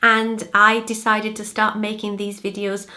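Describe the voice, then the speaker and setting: A young woman from Brazil whose first language is Portuguese, speaking English with a British-leaning accent, giving clear, upbeat vocabulary tips in a home office. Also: mid-range voice, monotone, unhurried, sharp tone. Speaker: language-learning vlogger. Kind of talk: friendly tutorial vlog.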